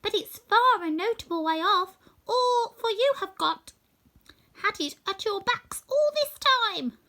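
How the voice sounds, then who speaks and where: Tiny squeaky mouse queen. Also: animated, measured pace, bright, forceful tone, full character voice. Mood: helpful, brisk. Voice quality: squeaky, thin little voice. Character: tiny squeaky Queen of the Mice, helpful and bossy.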